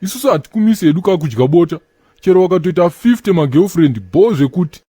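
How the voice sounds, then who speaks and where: A man's voice: high-pitched, animated, quick pace, mellow, breathy edge, no echo, clean studio sound. A man voicing a self-important, mocking street buddy with a Zimbabwean accent, bragging through slangy comic cartoon banter. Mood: boastful. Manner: mocking, boastful.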